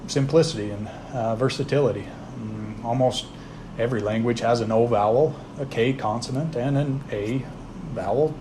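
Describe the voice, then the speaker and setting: A man talks through the history of phrases in a relaxed, thoughtful way and often stops to say "uh" or start a sentence over. His voice sits at an ordinary middle pitch. A clear layer of background noise runs under the recording. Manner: relaxed, thoughtful, frequent "uh" fillers and restarts. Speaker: host explaining word origins. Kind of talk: casual spoken lesson.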